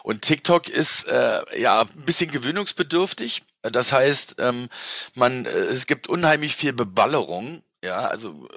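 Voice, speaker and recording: A man's voice: mid-range voice, animated, unhurried, creaky, a bit bright, no room sound. A middle-aged man chatting casually as an interview guest in a rambling, colloquial, enthusiastic way, on slightly dull audio. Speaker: interview guest, event presenter and moderator.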